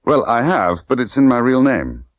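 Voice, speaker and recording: A man's voice: mid-range voice, big pitch swings, moderate pace, no room sound, voice pushed up, lightly acted. A man voicing a cool, easygoing British secret agent, unruffled, laconic and lightly teasing.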